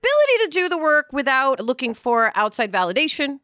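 A woman's voice: high-pitched, big pitch swings, fairly quick, bright, forceful tone, no room sound, studio quality. A middle-aged woman hosting a casual talk show discussion in a blunt, joking, self-deprecating way. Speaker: podcast host.

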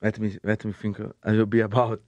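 A young man with a Brazilian Portuguese accent, a podcast guest speaking halting English and often restarting words. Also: fairly low pitch, completely flat delivery, a little mellow, dry recording, highs cut off. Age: young adult. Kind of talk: casual interview chat.

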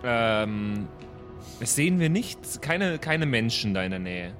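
A man's voice: medium pitch, very animated, takes it slowly, fairly bright tone. A man playing a tabletop role-play game, improvising casually in character as a player. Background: faint background music.

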